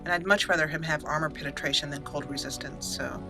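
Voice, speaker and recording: A woman's voice: sits low, fairly even intonation, fast. A woman hosting a casual let's play, chatty and thinking aloud as she goes. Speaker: host, gamer. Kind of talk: casual let's play commentary with roleplayed dialogue. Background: soft game music.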